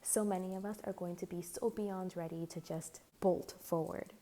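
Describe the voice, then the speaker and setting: Tarot reader, woman, lowish mellow voice going a bit hoarse; rambly, filler-heavy casual delivery. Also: steady tone, moderate pace. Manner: rambling, full of "like" and "you know". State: slightly hoarse, losing her voice. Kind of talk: casual tarot reading to camera.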